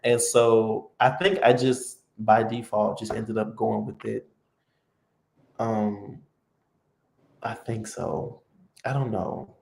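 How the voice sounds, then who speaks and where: A man hosting a casual live-stream chat, relaxed and talkative with lots of fillers. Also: lowish voice, takes it slowly, vocal fry, pauses often, echoey room, a little hiss or hum. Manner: relaxed, chatty, many fillers. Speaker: host.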